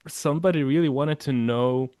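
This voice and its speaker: A man's voice: mid-range voice, moderate pace, mellow, smooth, no room sound. Man chatting in a dry space. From Iceland. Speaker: podcast host. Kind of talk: casual interview chat.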